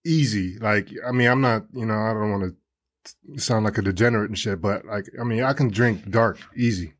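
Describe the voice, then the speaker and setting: A man's voice: on the low side, a little fast, no room sound. A man from Germany co-hosting a podcast, teasing and boasting in casual chat. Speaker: podcast co-host.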